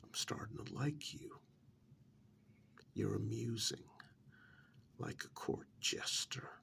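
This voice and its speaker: A man acting in a soft-spoken ASMR role-play as a sardonic, pampered captive in a dystopia, taunting and condescending in a breathy near-whisper with deliberate pauses. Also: lowish voice, heavily acted. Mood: amused taunting.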